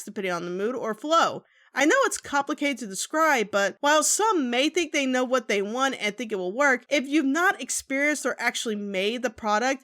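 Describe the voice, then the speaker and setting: Bright, very high-pitched female reviewer. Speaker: guest co-reviewer. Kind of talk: semi-scripted review commentary.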